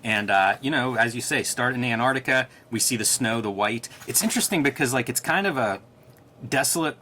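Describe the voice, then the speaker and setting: Guy chatting about comic books, bright crisp-edged voice, bit of background noise. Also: medium pitch, a little fast. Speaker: co-host and comics artist. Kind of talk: casual comics discussion.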